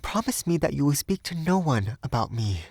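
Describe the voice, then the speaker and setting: Man voicing a soft, high-pitched young Parisian woman. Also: sing-song, normal speed, dry recording, studio quality, heavily acted. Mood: pleading, gentle. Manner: hesitant, earnest, delicate. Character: young Parisian woman, shy, nervous, secretive, bohemian. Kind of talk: audiobook character voice.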